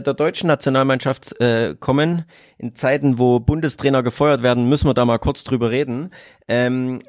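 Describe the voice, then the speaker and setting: Male host, mid-range pitch, halting delivery with lots of fillers. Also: no echo, clean studio sound. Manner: hesitant, with many fillers, reads out quotes. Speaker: podcast host. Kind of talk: podcast interview.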